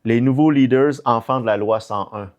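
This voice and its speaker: A man's voice: mid-range voice, moderate pace, darkish tone, muffled recording. A middle-aged man with a Québécois French accent chatting casually on a podcast, hesitant and often repeating words as he searches for the next one. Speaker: podcast co-host or guest.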